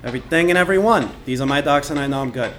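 A man's voice: mid-range voice, very fast, fairly bright tone, slight background noise, close to an everyday voice. A man playing a bitter, grumpy dock boss in a noir radio drama, curt, surly and folksy. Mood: grudging, irritated. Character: grumpy bitter man guarding the docks, gruffly resentful.

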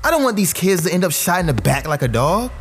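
Man playing a hot-headed young activist, high voice. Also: sing-song, fast talker, slight background noise. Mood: agitated, worried. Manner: impassioned, worked-up, colloquial. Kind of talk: audio drama acting.

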